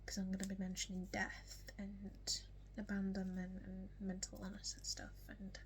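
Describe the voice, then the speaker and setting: A nineteen-year-old woman with a strong Yorkshire accent, chatting casually to camera in a get-to-know-me vlog, often soft and whispery with lots of ums, hiccuping now and then. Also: medium pitch, monotone, normal speed, a little hiss or hum. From West Yorkshire, England. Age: young adult. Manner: soft, frequently whispery delivery with many ums. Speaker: vlogger.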